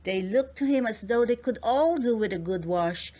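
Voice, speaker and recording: A woman's voice: fairly high pitch, animated, moderate pace, dark tone. A woman narrating a children's storybook in a scripted read-aloud style.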